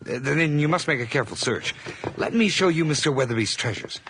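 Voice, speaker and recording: A man's voice: fairly high pitch, animated, fairly quick, fairly bright tone, slight background noise. An actor playing a nervous, overly eager hired man who stammers through forced cheer in a radio drama. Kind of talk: 1980s radio drama acting.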